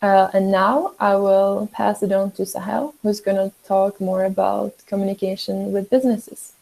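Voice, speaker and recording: Young female trainer, dark gravelly voice, even delivery, calm explanations. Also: medium pitch, normal speed, low-quality recording. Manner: steady, explanatory, a few "uh" and "um". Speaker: workshop presenter. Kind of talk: online training talk.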